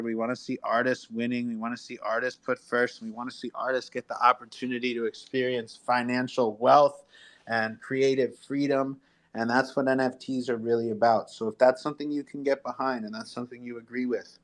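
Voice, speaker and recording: Man, live audio show host, informal, encouraging, promotional, mid-range voice. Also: medium pitch. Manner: encouraging, informal, calls people "my friend". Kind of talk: promotional live-show hosting, addressing listeners and guests.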